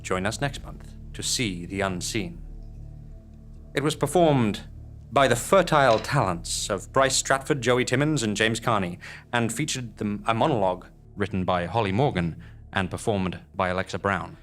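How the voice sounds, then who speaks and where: A man delivering scripted narration and show credits as host, in a measured, theatrical manner. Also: on the low side, a bit bright, soft background music.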